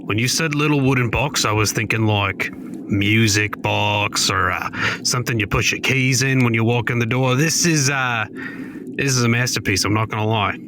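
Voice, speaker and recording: A man's voice: medium pitch, normal speed. A man playing a tabletop game, chatting casually and improvising in character. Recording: clear background ambient noise.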